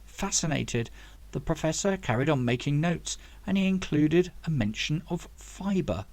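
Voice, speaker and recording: A man's voice: fairly high pitch, animated, unhurried, darkish tone, no room sound. A man reading a story aloud as scripted audiobook narration, as the author of the book.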